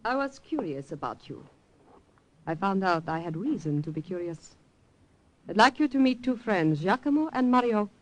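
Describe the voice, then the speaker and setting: A cunning woman of the underground, played by a woman, mid-pitched and cool. She teases calmly, in old radio drama style. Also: moderate pace, slight background noise, close to an everyday voice, poor audio.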